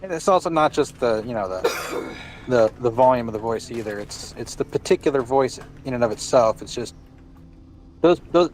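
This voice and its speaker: A man's voice: mid-range voice, lively intonation, normal speed. A man chatting casually, often repeating words as he works out what to say. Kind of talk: casual chat.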